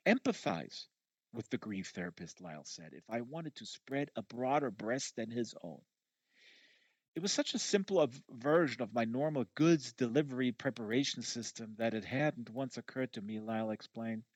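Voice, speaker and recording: A man's voice: medium pitch, husky, no room sound. A man reading a first-person novel aloud live, with an emphatic, conversational delivery. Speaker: audiobook reader. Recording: dull, lo-fi audio.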